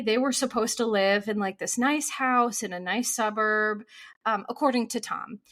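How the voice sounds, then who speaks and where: A woman in her early forties, a therapist hosting her own podcast, talking through a topic in animated, conversational commentary with frequent fillers and restarts, a little congested from a cold. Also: on the high side. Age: 40s.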